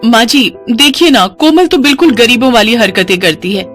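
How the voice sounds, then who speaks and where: A woman's voice: a little high, lively intonation, normal speed, fairly bright tone, faint music behind, pitched above the performer's own voice. A woman voicing a spiteful, snobbish young daughter-in-law in a moral story, complaining in a pointed, whiny way. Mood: whiny, accusing.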